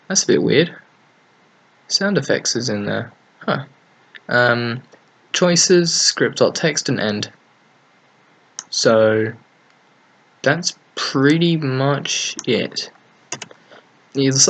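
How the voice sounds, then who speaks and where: Slow-paced man giving an offhand tutorial. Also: on the low side, fairly bright tone. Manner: offhand, thinking aloud. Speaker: tutorial host. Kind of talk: casual screen-recorded software tutorial. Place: at a computer desk, screen recording.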